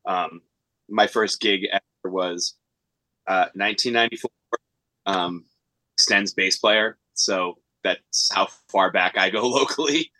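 Easygoing middle-aged guitarist, low and bright, dry close sound. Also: male voice, slow, dry recording, low-quality recording. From Pennsylvania. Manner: easygoing, self-deprecating. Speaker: band guitarist. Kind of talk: casual interview chat.

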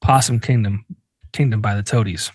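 Animated self-mocking man in his forties. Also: on the low side, normal speed, slightly rough, dry recording. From northeastern Pennsylvania. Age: 40s. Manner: animated, self-mocking storyteller who swears freely. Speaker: podcast host. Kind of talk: casual chat.

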